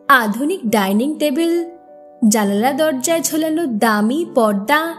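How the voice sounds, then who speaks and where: A woman reciting a narrative poem in an expressive, measured manner with deliberate pauses. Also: high voice. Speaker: poetry reciter. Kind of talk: dramatic Bengali poem recitation, first-person narrative.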